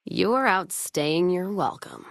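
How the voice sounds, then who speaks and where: Hard-bitten frontier caravan woman, low pitch, American accent, sardonic folksy slang, foul-mouthed, dry studio sound, video game character. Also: sing-song, slow pace, vocal fry, no echo, exaggerated voice. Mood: wry, laid-back.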